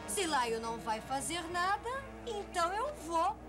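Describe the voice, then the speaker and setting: A woman's voice: very high voice, big pitch swings, unhurried, exaggerated voice. A woman voicing a resolute, brave cartoon warrior heroine. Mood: determined. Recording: old cartoon soundtrack with music.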